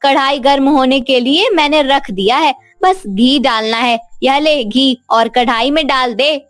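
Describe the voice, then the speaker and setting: Lady doing a spoiled scheming girl in a kids cartoon tale, high pitched, dry close sound. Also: a little slow, a bit bright, dry recording. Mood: bossy. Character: scheming, spoiled, jealous young woman villain. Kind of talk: Hindi animated moral story voice acting.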